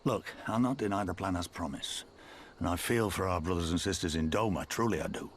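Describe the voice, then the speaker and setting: An actor playing a weary, stern older rebel leader in a game cutscene, speaking gravely and bitterly. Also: male voice, low-pitched, fairly quick, slight background noise, lightly acted. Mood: doubtful. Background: orchestral game score.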